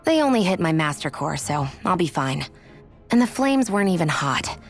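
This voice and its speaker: A woman's voice: lowish voice, sing-song, normal speed, soft background music. An actress playing a proud, guarded young woman in a video game, cool and self-possessed. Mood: reserved, matter-of-fact. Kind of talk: dramatic video game voice acting.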